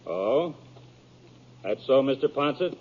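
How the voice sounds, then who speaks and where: An actor playing a plain-spoken, trusting posse lookout in a 1950s radio western, with a rustic American way of talking. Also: male voice, mid-range voice, animated, slow. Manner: rustic, folksy speech. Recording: tinny, noisy old radio recording.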